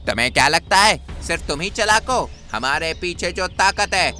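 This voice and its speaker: Man doing a high, sneaky mouse henchman. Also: lively intonation, normal speed, pushed and bright, a little hiss or hum, full character voice. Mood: defiant threat. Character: sneaky cowardly male mouse thief, cheeky henchman.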